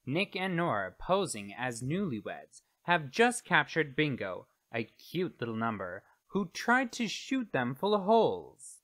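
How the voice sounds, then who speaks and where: Male announcer, forceful and unhurried, theatrical radio-show narration. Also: fairly high pitch, big pitch swings.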